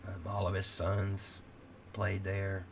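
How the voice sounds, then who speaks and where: A middle-aged man rambles candidly through a late-night livestream, cursing and restarting his sentences. His low, gravelly voice is wearing thin, as if he is losing it. A faint hiss sits under the recording. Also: monotone, slow pace. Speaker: livestream host.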